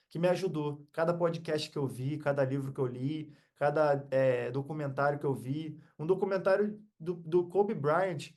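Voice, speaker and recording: A man's voice: a little high, darkish tone. A Brazilian man talking as a podcast guest in a casual, heartfelt motivational chat, earnest and full of slang.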